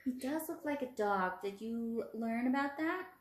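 A woman's voice: fairly high pitch, lively intonation, unhurried. A woman in her twenties, an encouraging teacher reading aloud and putting questions to young children. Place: small room at home, indoors. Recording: roomy home recording.

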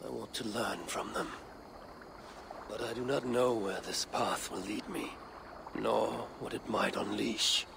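A grave Viking war leader in middle age for a video game. The voice is heavily gravelled and slow, with weary, deliberate solemnity. Clear noisy ambience sits behind it. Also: male voice, lowish voice, fairly even intonation.

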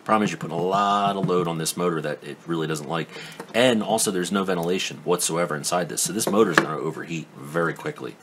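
A man's voice: deep voice, fast, background noise. A man giving a casual hands-on tool review at his workbench, rambling and skeptical, full of ums and restarts. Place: indoor workbench.

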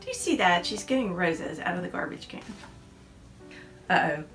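A woman's voice: fairly low pitch, very animated, normal speed, fairly bright tone, faint music behind. A woman in her forties reading her picture book aloud indoors to a camera, with coaxing asides to children. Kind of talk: children's story read-aloud. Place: decorated classroom-like room indoors.

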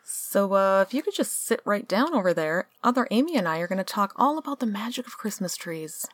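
Woman acting an animated, offhand schemer. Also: mid-range voice, fairly quick, no room sound, natural voice. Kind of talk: comic radio play acting.

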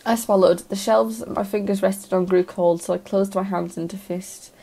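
Woman reading a short story in first person, lots of vocal fry, pretty even tone. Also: medium pitch, normal speed.

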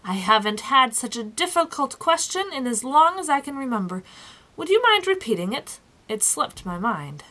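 A woman's voice: high-pitched, very animated, normal speed, sharp tone, voice pushed up, exaggerated voice. A woman voicing a proud, cheerful, fussy ordinary-sized man in a storybook, with an emphatic, self-important manner. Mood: pondering.

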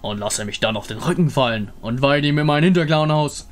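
Ein Mann spricht einen stolzen, prahlerischen Löwenkrieger in einem Fantasyspiel, der von sich in der dritten Person redet. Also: male voice, mid-range voice, expressive, a little fast, soft background music, lightly acted. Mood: triumphant. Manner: speaks of himself in third person, boastful. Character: proud boastful male lion-beast hunter.

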